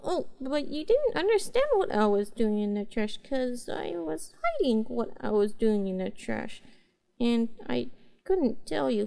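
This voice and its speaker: Cute neko girl: high, swooping voice, childlike and earnest, for roleplay audio. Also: vocal fry, warm tone, exaggerated voice. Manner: hesitant, childlike, halting phrasing. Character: cute, earnest, naive young cat-girl pet. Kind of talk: roleplay audio drama, speaking to the listener.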